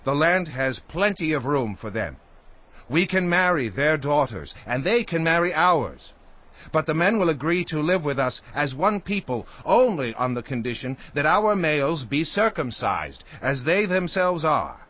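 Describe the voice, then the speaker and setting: A persuasive town leader in an ancient scripture drama. His fairly high voice moves animatedly like a public orator's, on a slightly muffled recording. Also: sing-song, measured pace. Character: persuasive male leader addressing his townsmen. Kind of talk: dramatized audiobook scripture acting. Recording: muffled, band-limited audio.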